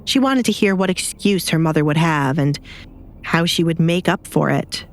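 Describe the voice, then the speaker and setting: A woman's voice: deep voice, lively intonation, moderate pace. A woman reading scripted dramatic fiction as an audiobook narrator, with light noise behind her.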